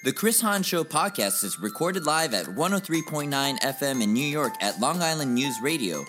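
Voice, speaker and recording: Credits announcer over loud music. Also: mid-range voice, expressive, normal speed. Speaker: announcer reading show credits. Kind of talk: scripted narration.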